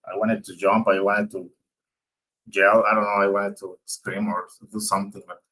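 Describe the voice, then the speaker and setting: Husky low-voiced man, speaking haltingly. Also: little pitch movement, bright, forceful tone, rough recording. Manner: hesitant, halting, searching for words. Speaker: member of a job-search coaching group. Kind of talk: casual, reflective personal sharing.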